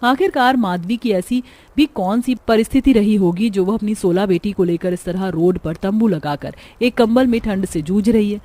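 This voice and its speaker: Mellow woman narrating a cartoon story. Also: medium pitch, moderate pace. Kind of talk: scripted dramatic storytelling for a cartoon. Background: background music.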